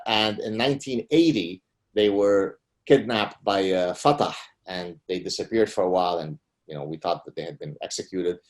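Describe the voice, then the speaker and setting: A middle-aged man from Lebanon whose first language is Arabic, speaking emphatically as a guest in an interview. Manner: emphatic, rising pitch on key points. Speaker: guest author. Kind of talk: conversational interview.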